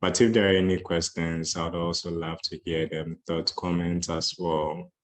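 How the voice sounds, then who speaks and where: Man, deep, even-toned, relaxed conference speaker with lots of fillers. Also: normal speed. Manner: relaxed, many fillers like "you know". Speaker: conference speaker on moving to Ghana. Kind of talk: casual talk. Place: online video call.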